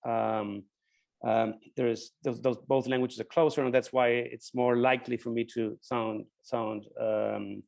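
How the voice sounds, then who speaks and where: Male guest academic, German accent, bilingual German-Spanish native, gravelly creak, thoughtful halting answers. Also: medium pitch, little pitch movement, a little mellow. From grew up with German and Spanish. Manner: halting, repeats words while thinking. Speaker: guest speaker, linguist. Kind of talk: thoughtful spontaneous question-and-answer.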